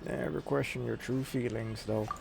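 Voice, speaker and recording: A woman's voice: extremely low pitch, fairly even intonation, normal speed, vocal fry, darkish tone. A woman giving casual playthrough commentary as a game streamer, sometimes reading lines aloud. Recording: noisy, with game sound behind.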